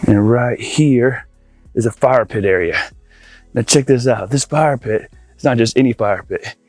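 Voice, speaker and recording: A man's voice: medium pitch, measured pace, a little mellow, no echo, faint music behind. A man giving a casual, enthusiastic video walkthrough outdoors, talking informally to viewers as an installer showing off a project. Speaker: audio-video installer presenting a project tour. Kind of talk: casual walkthrough vlog to camera. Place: outdoors in a backyard by a pool.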